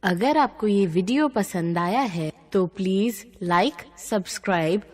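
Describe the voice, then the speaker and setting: A woman's voice: medium pitch, expressive, a little slow, a little mellow. A woman delivering a scripted channel sign-off as presenter, with long reverb on the recording.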